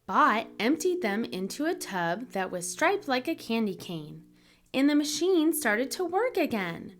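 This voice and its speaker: A woman reading a children's story aloud in an expressive, deliberate storytelling manner for young listeners. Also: fairly high pitch. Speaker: children's book reader, channel host.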